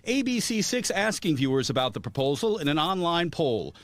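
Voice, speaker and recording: A local TV news reporter reading his piece in a formal, even way. He has a slightly high-pitched voice and keeps a steady, measured delivery.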